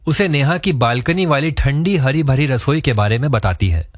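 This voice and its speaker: A man's voice: medium pitch, lively intonation, normal speed, highs cut off. A man reading scripted storytelling narration for a cartoon moral tale.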